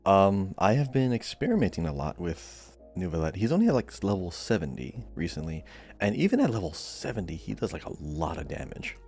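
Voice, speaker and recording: A man's voice: low voice, big pitch swings, a little fast, darkish tone, soft background music. A man co-hosting a podcast, chatting casually about gaming. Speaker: podcast co-host.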